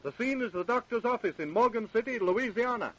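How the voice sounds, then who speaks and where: A man's voice: very high-pitched, expressive, brisk, a bit bright, dull audio. A man narrating a radio drama as its announcer, in formal, scripted style.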